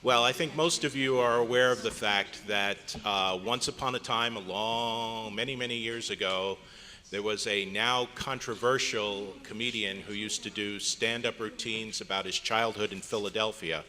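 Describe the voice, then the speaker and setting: Low, bright-voiced man in an echoey hall. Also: fairly even intonation, normal speed. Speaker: club member introducing a radio play recreation. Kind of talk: public introductory talk to a live audience. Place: hotel convention meeting room, indoors. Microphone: live PA microphone. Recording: echoey hotel meeting hall, noisy background.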